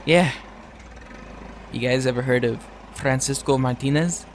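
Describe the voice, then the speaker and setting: Young male actor for audio drama: naive, cheeky wisecracker, medium pitch, casual and earnest. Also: a little slow, a little hiss or hum, lightly acted. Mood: eager. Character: Timmy, cocky naive wisecracking young man.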